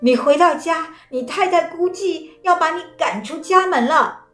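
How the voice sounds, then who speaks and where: A woman's voice: very high voice, unhurried, fairly bright tone, pitched above the performer's own voice. A woman voicing rich, smug gambling men who scoff and insist. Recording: roomy, with faint music.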